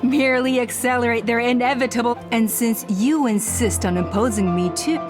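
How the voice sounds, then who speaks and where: High voiced villain lady actress doing it, scheming + smug talker, video game style, bit of music under. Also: normal speed, natural voice. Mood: cold disdain. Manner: condescending, persuasive, theatrical.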